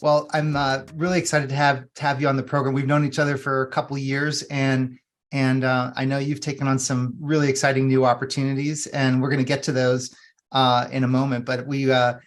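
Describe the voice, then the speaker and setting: A man's voice: medium pitch, little pitch movement, brisk, soft background music. A man hosting an interview, asking long, rambling questions full of restarts and fillers. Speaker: podcast host.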